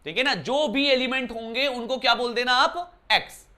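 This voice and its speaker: A man teaching maths in a bright, clear voice, talking to his students casually. He explains step by step and keeps asking them whether they follow. He is from Uttarakhand and records indoors. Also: very high-pitched, moderate pace. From Uttarakhand, India. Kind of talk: classroom lesson explanation. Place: indoor room.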